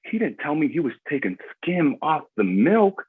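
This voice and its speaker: A man's voice: on the high side, sing-song, moderate pace, slightly rough. Man playing a cocky, menacing crime boss, muffled sound. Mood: boastful, menacing. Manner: swaggering, slangy street talk. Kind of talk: screenplay table read acting.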